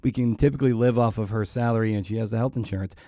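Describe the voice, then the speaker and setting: A man with a very mellow, dark voice hosts a conversational interview. He thinks aloud in wordy, halting stretches, and the sound is very dry with no room echo. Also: lowish voice. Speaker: podcast host, writer.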